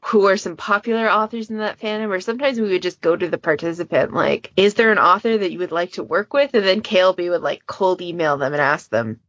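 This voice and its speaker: A woman's voice: fairly low pitch, fairly quick, hardly any pauses, fairly bright tone, dry recording, lo-fi sound. A woman in a casual podcast discussion, talking in a chatty, discursive way and acting out little quotes as examples. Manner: chatty, discursive, illustrates points with imagined quotes. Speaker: podfic challenge moderator.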